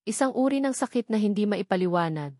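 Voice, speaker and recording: A woman's voice: medium pitch, expressive, fast, dark tone, dry recording, clean studio sound. A woman reading a scripted, dramatic romance story as narrator.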